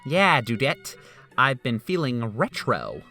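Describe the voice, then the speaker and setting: A man in a fan game dub voicing a goofy, laid-back male scientist who talks loudly and offhandedly. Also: mid-range voice, huge pitch swings, takes it slowly, faint music behind, full character voice. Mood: breezy. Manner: loud, breezy and offhand.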